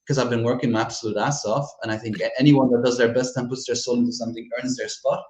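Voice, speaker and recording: A man's voice: mid-range voice, steady tone, fast talker, darkish tone, echoey room. A man from Flanders with a Flemish accent, a Dutch native speaker talking in English as a guest author, candid and reflective, often laughing. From Belgium (Flanders). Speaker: horror author, podcast guest. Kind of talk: casual interview chat.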